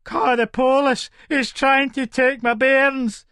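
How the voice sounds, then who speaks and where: A man voicing an angry, desperate Scottish mother in a story, with a shrill, screeching delivery. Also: sing-song, a little slow, no echo, clean studio sound.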